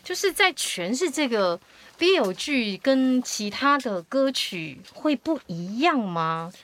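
A woman hosting a podcast, asking questions in a lively, expressive way and laughing often. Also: on the high side, takes it slowly. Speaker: podcast host. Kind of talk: casual podcast chat. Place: small studio. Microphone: studio microphone.

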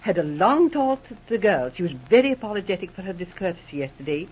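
Lively, confident lady agent. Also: fairly low pitch, quick pace, breathy edge. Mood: breezy, confident. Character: brisk, confident, efficient woman undercover agent. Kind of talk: 1940s radio drama acting. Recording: dull, muffled old radio recording.

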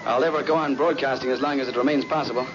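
An actor playing a steady, businesslike astronaut making clipped reports in a 1960s radio drama. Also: male voice, mid-range voice, racing, lightly acted. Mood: resolute. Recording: dull, noisy old radio recording.

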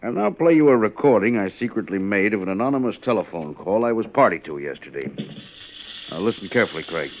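A man's voice: fairly low pitch, animated, quick pace, slight background noise. A man playing a cautious, anxious insurance claims agent in a 1950s radio drama, speaking in a careful, officious business manner. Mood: cautious, businesslike. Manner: earnest, careful, hesitant businesslike delivery. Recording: muffled vintage 1950s radio recording.

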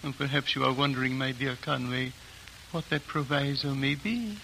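An actor playing an ancient, gentle High Lama in a 1940s radio drama, speaking slowly and solemnly like a father. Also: creaky, slight background noise, rough recording. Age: older. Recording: old radio broadcast.

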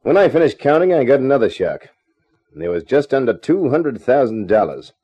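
An actor playing a wry, wisecracking private detective who narrates a 1950s radio drama. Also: male voice, mid-range voice, big pitch swings, moderate pace, warm tone, slightly rough. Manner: dry, wisecracking, laconic. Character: wry hard-boiled male private eye. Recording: dull 1950s radio recording.

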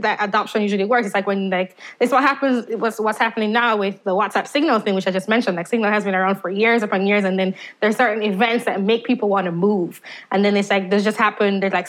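A woman from Jamaica, a podcast guest, speaking casually in a hesitant way with many fillers and restarts. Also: mid-range voice.

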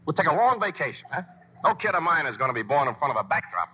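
An actor playing an easygoing young vaudeville husband in a 1940s radio play, talking in breezy, affectionate slang, on a dull old broadcast. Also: male voice, fairly high pitch, very animated, fast talker, sharp tone. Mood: coaxing.

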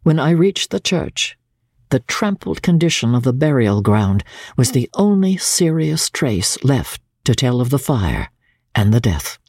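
A man narrates classic literature in a formal, measured way. His voice is husky and moves through a wide, expressive range of pitch. The sound is close and dry, with very little room in it. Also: no echo. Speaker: audiobook narrator. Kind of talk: dramatic literary narration of a classic novel, first person.

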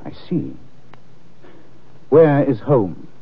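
Suave, husky radio detective, tinny recording. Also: male voice, mid-range voice, big pitch swings, full character voice. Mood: wry, probing. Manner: dry, wry, unhurried understatement. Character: suave, dryly witty gentleman sleuth. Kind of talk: 1940s-50s radio drama acting. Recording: tinny, muffled old-time radio recording.

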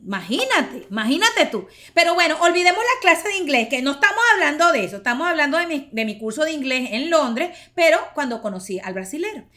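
A woman's voice: sits high, big pitch swings, fairly quick, hardly any pauses, sharp tone. A woman in her forties hosting a podcast, telling stories in a chatty, playful way and laughing often. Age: 40s. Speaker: podcast host. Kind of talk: casual storytelling monologue.

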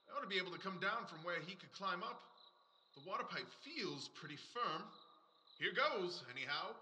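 A man plays a brisk, self-assured detective in a dramatised audiobook. His voice is forceful and a little high for a man, with clipped, commanding delivery that sounds keen and certain. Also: expressive, a little hiss or hum, exaggerated voice. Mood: straining, intent.

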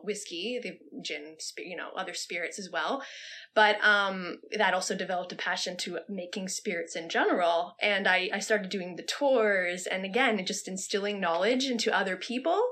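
An earnest woman with a Canadian accent answers an interview question in a long, rambling way. Her voice is bright and forward, and she restarts her sentences as her thoughts pile up. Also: medium pitch. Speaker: podcast co-host.

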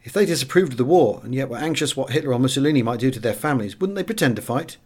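A man with a British accent voicing an earnest, excitable fighter pilot reasoning aloud in an audiobook memoir. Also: mid-range voice, very fast, natural voice.

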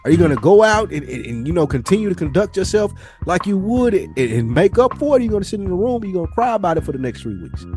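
Guy on a podcast, kinda high voice, earnest slangy rambling chat, faint music under it. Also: very animated, quick pace, few pauses, darkish tone. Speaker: podcast host. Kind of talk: casual reflective conversation.

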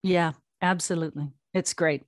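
Low-voiced woman in a dry, close recording. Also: fairly even intonation, normal speed, no echo. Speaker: guest, audio drama platform co-founder. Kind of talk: conversational interview answers with anecdotes.

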